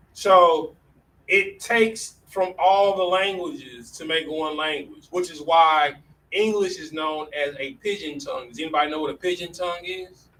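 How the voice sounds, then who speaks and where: A man's voice: a little high, a bit bright. A man teaching a classroom lesson in a casual way, often saying "all right" between points. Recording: distant mic with faint room noise.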